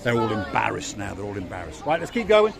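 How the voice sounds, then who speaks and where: A man's voice: lowish voice, wildly swooping pitch, quick pace, creaky. A man from North Wales with a Welsh accent, hosting a walking vlog and joking with people he meets. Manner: jokey, upbeat, chatty with strangers. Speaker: vlog host. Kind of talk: walking vlog chat, guiding a camera. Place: busy harbour front outdoors at evening. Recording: outdoor crowd chatter behind.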